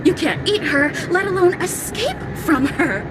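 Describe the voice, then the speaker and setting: A woman's voice: very high-pitched, big pitch swings, measured pace, loud music behind the voice, full character voice. A woman playing a mocking, sadistic fire spirit in a video game, theatrical and taunting. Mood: gloating.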